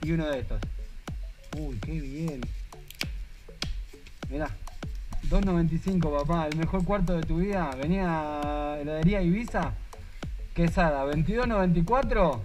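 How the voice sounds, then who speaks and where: A young Argentine man with a Rioplatense accent and a high-pitched voice, talking in a chatty, joking way for his vlog. He is picked up on a helmet-mounted action camera, with a clear music bed running under him. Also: normal speed, pauses often. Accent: Rioplatense Spanish. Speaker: motovlogger host. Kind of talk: casual vlog chat. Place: street and small ice cream shop.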